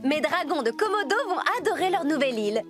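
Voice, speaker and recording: A woman's voice: high voice, lively intonation, fast talker, music in the background. A woman playing an enthusiastic, doting zookeeper in a French cartoon dub. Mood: delighted.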